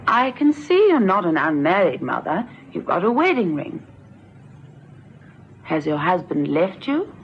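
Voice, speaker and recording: A woman's voice: fairly low pitch, sing-song, a little slow. An actress playing a fussy, motherly middle-aged wife in a vintage radio drama, chatty, scolding and melodramatic. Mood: chatty, consoling. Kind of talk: 1970s radio drama acting. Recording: dull vintage radio recording with noise.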